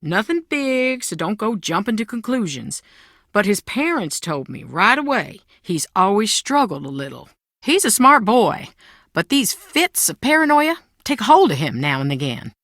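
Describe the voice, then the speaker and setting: Game role: middle-aged mother, proud and anxious, defensive, lively swooping delivery, close dry sound. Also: mid-range voice, normal speed, dry recording. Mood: earnest, worried. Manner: defensive, theatrical, emotionally expressive. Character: proud, anxious Southern mother guarding family secrets. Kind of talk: video game voice acting.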